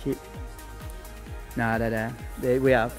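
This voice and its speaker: A man's voice: medium pitch, flat delivery, slow pace. Man, outdoor fishing coach, repeats instructions urgently, clip-on mic, music underneath. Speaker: fishing guide. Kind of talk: on-the-spot coaching. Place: outdoors by a lake.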